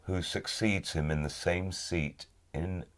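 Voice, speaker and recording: A middle-aged man, an audiobook narrator, talking casually in a studio interview, thoughtful and halting, often repeating a word as he restarts. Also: low voice, fairly even intonation. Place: recording studio.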